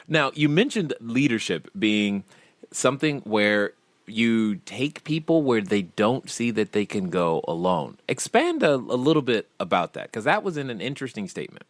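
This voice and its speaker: A man's voice: mid-range voice, sing-song, moderate pace, dry recording. A man hosting an interview podcast, chatting in a conversational way and laughing easily. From grew up in a military family. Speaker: podcast host.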